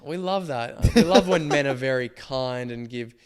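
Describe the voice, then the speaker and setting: A man in his twenties co-hosting a podcast with a slightly croaky voice, reflecting at length in casual chat. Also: medium pitch, moderate pace, crowd noise. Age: 20s. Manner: reflective, explains ideas at length. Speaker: podcast co-host.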